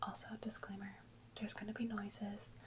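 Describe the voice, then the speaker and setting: A woman's voice: mid-range voice, flat delivery, normal speed, noisy background. A woman hosting an ASMR video in a whisper, chatting casually and playfully to her viewers.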